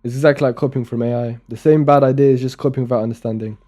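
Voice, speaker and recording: A man's voice: mid-range voice, fairly even intonation, racing, warm tone. A young man from Romania, casually commenting while live coding and muttering code aloud as he types, with chatty asides. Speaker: programming streamer.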